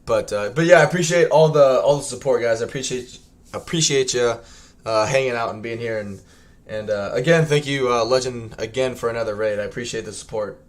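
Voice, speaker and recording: A man's voice: medium pitch, fairly quick. A young man streaming a strategy game, chatting loosely with many ums and restarts, his voice scratchy from a long day. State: scratchy tired voice. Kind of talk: casual gameplay livestream chat.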